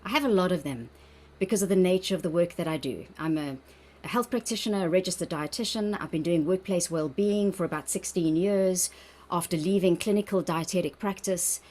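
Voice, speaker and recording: A woman with a South African accent talks through a wellbeing workshop over a video call. Her voice sits a little low, and she keeps the tone encouraging and conversational. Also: brisk. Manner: warm, encouraging, chatty with laughs. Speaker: workshop presenter, registered dietitian.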